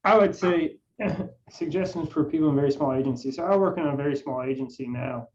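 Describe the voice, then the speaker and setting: A man's voice: a little high, a little fast, darkish tone. A man on a panel from a small agency, giving plain advice that trails off at sentence ends, over a video call. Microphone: video call, away from the mic. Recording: distant dull call audio with faint noise.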